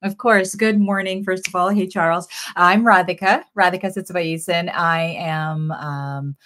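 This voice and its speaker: A woman's voice: lowish voice, fairly even intonation, moderate pace, a bit bright. A woman in her forties from Sri Lanka, a guest filmmaker answering interview questions earnestly in bursts with many fillers, over a video call from a home office.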